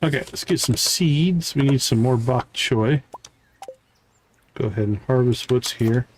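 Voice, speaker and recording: A man's voice: medium pitch, a little slow, a little hiss or hum. A man hosting a gaming livestream, thinking aloud in casual, chatty commentary as he plays.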